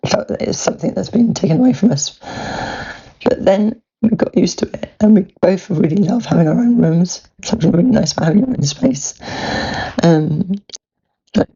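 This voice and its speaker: A woman in her fifties talks in a croaky, husky voice with a gravelly edge. Her tone is mellow and gentle as she records a sincere message. The sound is dull and lo-fi, like a phone recording. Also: on the low side, animated, normal speed. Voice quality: croaky, breathy voice. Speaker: listener sending a voice message.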